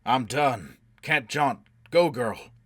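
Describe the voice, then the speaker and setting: A man voicing a gruff, hard-boiled crook in a science-fiction adventure, blunt and forceful. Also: lowish voice, animated, quick pace, dry recording, studio quality. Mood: desperate. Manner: blunt, forceful, barking delivery.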